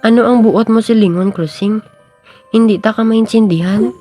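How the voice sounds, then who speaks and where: A woman's voice: a little high, normal speed, warm tone, faint music behind, natural voice. A young woman playing an earnest, lovestruck schoolboy in an amateur radio drama. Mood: hurt, angry. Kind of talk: amateur radio drama acting in Filipino regional language.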